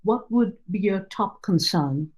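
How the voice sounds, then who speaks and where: A woman from Nepal, a nonprofit founder whose first language is Nepali, giving an earnest pitch presentation with occasional fillers and restarts. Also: expressive, unhurried, darkish tone, lo-fi sound.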